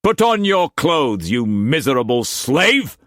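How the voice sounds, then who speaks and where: A man's voice: mid-range voice, very animated, takes it slowly, a bit bright, no room sound, clean studio sound, voice pushed up. A man voicing a furious, domineering master who barks harsh commands at his household. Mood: raging, bellowing.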